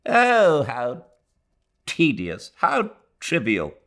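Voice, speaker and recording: Bitter elderly Englishwoman played by a man, audiobook; raised swooping pitch, weary, emphatic, scornful of everyone. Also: huge pitch swings, very slow, gravelly, a bit bright, heavily acted. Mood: irritable, scornful. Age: older. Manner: rambling, emphatic, repetitive. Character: irritable, bitter, weary old Englishwoman, disdainful and brooding.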